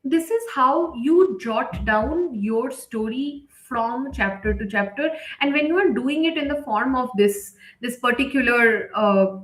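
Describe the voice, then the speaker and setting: Woman instructor, voice on the high side, unscripted live-lesson delivery, emphatic repeats, very roomy echo. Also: lively intonation, measured pace, few pauses. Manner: unscripted, emphatic with repetition and check-in tags. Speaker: writing workshop instructor. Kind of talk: live online lesson, explanatory. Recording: echoey, roomy sound.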